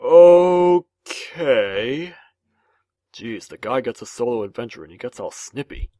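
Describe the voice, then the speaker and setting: Woman acting as a male adventurer leader, sarcastic, exasperated, deliberate pace, dry close sound, cartoon. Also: very deep voice, lively intonation, mellow, no room sound, heavily acted. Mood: miffed. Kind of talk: animated comic fandub acting.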